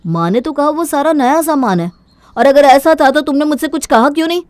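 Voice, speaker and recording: Actor as an earnest, caring young husband. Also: male voice, very high voice, fairly quick, no room sound. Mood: puzzled. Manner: earnest, concerned. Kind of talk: Hindi cartoon moral story acting.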